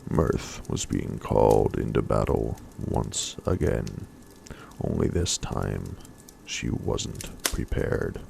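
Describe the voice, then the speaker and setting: Male ASMR storyteller, breathy half-whisper, extremely low creaky tone, slow pace, faint background noise. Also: lively intonation. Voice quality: breathy, hushed, often whispered. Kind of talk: soft-spoken ASMR story reading.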